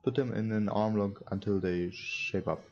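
A man's voice: lowish voice, normal speed. A young man who is a non-native English speaker, reading game text aloud and commenting casually as a let's player. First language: not English. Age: young adult. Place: small room indoors at a computer. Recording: muffled, with game music. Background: visual novel background music.

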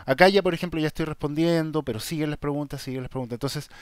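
A man with a Chilean accent hosting a casual vlog, talking to viewers in a direct, emphatic and somewhat halting way. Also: on the high side, smooth, no echo, studio quality. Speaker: YouTube channel host. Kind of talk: casual vlog talk, answering viewer questions.

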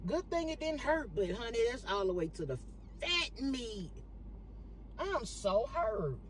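A woman's voice: on the high side, unhurried, a little hiss or hum, rough recording. Chatty woman vlogging from her parked car. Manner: chatty, animated, talks straight to viewers. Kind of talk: casual vlog chat to viewers.

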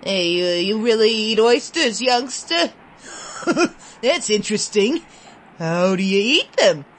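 A woman voicing an amused gentleman in a top hat, chuckling and condescending. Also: mid-range voice, lively intonation, slow pace, slight background noise. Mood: amused curiosity.

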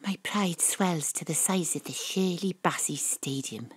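Astrid, a clear-voiced detective narrator. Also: female voice, fairly low pitch, measured pace, no room sound. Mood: proud, moved. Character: Astrid, proud, dramatic female detective narrator. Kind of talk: scripted audio drama narration.

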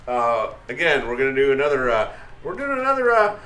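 A man's voice: medium pitch, a little fast. Middle-aged guy streaming a raid w friends, voice all over the place, kinda roomy mic, faint hum. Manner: animated, playful, laughs easily. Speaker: streamer hosting a group raid. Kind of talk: casual gaming chat with friends. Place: home streaming room.

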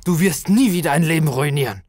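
A man's voice: on the high side, lively intonation, fast, darkish tone, voice pushed up. A man voicing a desperate, furious man in his thirties in a horror story, agitated and defiant.

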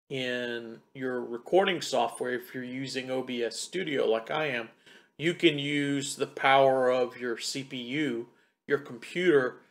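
Middle-aged male tech presenter, mid-range voice, informal step-by-step explaining, pauses to think as he goes. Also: medium pitch. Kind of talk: casual step-by-step gear tutorial. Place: home computer desk in a wood-panelled room. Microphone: desktop condenser mic about two feet away.

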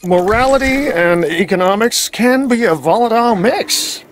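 A man's voice: high-pitched, expressive, moderate pace, soft background music. An actor playing a glib, self-interested park businessman in a video game, flippant and full of hesitant asides. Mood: wry, evasive.

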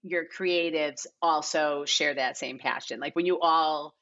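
A middle-aged American woman with a fairly low but very bright voice talks casually and emphatically, like a guest telling stories in an interview. The audio is slightly dull, as on a lo-fi video call. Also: unhurried. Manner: animated, emphatic, piles up quoted asides. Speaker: guest, TV and film casting director.

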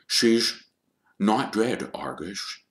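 A man voicing a wise old headmaster in a storybook, calm, measured and firm. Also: mid-range voice, reverberant, voice pushed up, natural voice. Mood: grave, soft. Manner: measured, soft but firm.

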